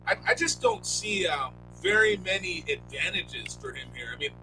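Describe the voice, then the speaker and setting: A man co-hosting a casual sports podcast, talking expressively with sharp jumps in pitch on key words. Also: big pitch swings, normal speed, over music. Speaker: podcast co-host. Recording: noisy line with hum.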